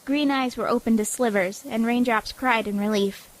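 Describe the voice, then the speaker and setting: A woman's voice: fairly high pitch, normal speed, poor audio. A woman reading scripted story narration as the narrator of an audio drama.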